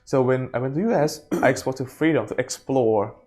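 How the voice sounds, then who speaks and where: A man's voice: mid-range voice, brisk, darkish tone. Young Thai-accented man in an interview. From Thailand. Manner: simple, non-native English phrasing. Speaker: interview guest, startup co-founder. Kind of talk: casual interview talk.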